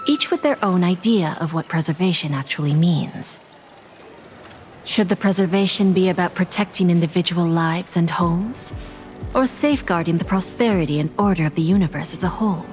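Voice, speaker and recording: A woman playing a poised, authoritative corporate insider, giving articulate, measured exposition in a video game. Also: fairly low pitch, close to an everyday voice. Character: composed, authoritative female corporate insider briefing allies. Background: game music.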